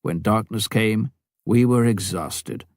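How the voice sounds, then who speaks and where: A man's voice: lowish voice, slow pace, dry recording, studio quality. A man reading a memoir aloud as scripted audiobook narration.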